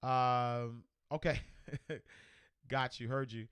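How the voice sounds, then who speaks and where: A man with a New York accent hosting a livestream, loudly and energetically answering viewer comments in casual chat. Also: mid-range voice, takes it slowly, smooth. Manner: loud and energetic, reads comments aloud. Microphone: desk microphone.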